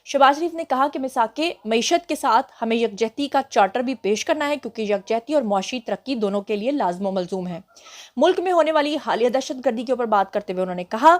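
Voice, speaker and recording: A young woman with a Pakistani Urdu accent runs through the day's headlines as a channel host, her voice a little higher than most. She has a sore throat and keeps her pace slow and careful to spare it. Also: animated. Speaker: news show host. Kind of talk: casual news roundup for a channel.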